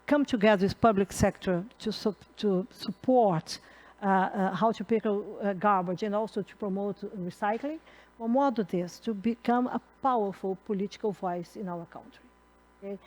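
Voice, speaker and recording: Brazilian woman moderating, halting English. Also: mid-range voice, darkish tone, low-quality recording. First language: Portuguese. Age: middle-aged. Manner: halting, many fillers and restarts, laughs at own slips. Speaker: panel moderator. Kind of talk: conference moderation. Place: conference hall.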